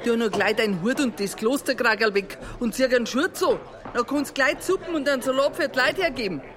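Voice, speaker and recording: A woman's voice: a little high, a little fast, a crowd in the background, close to an everyday voice. Actress as a brusque Bavarian innkeeper mother, music behind. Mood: bossy. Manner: blunt, commanding dialect speech. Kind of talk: radio drama acting.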